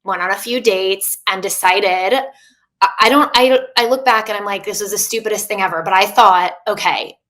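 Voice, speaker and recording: A woman in her mid-thirties chats openly as a podcast guest. Her voice is bright and forward, with a middling pitch. She talks loosely, starting over often and leaning on filler words. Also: moderate pace. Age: 30s. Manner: chatty and open, many "like" fillers and restarts. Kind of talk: candid casual interview.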